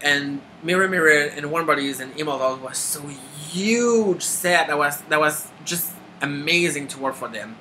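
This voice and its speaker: Quebec man, thirties, bright crisp voice, stop-start delivery, faint hiss behind. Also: fairly high pitch, expressive, rough recording. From Quebec, Canada. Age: 30s. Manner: halting, many restarts and filler. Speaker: makeup artist auditioning. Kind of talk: casual self-introduction to camera.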